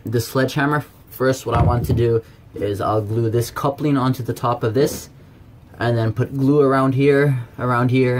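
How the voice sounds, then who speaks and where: A man's voice: medium pitch, darkish tone. A man giving a casual hands-on tutorial in an informal, plain-spoken way, explaining step by step. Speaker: presenter of DIY how-to videos. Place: around a backyard tub and a workshop. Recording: handheld camera with background noise.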